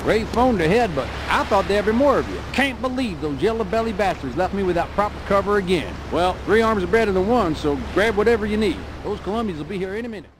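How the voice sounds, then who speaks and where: An actor playing a tough, grumbling war veteran turned arms dealer in a game, brusque in manner. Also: male voice, sits high, lively intonation, fast talker, hardly any pauses, noisy background. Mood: brisk, griping. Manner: brusque, griping. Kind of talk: dramatic game cutscene acting.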